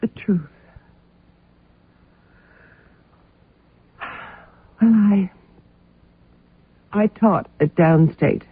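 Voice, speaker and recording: A woman's voice: medium pitch, slow, slightly rough, no room sound. An actress playing an evasive, defensive housewife under questioning in a radio drama, hesitant and guarded in her delivery. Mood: confiding. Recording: muffled narrow-band old radio sound.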